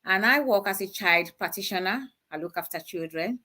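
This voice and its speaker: Bright-voiced Nigerian-born woman guest. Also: mid-range voice, animated, normal speed. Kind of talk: casual interview answers.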